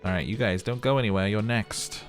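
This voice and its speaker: Hoarse, chatty male game commentator. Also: fairly low pitch, a little fast, soft background music. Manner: chatty and self-mocking. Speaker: let's play host. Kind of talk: gameplay commentary and narration.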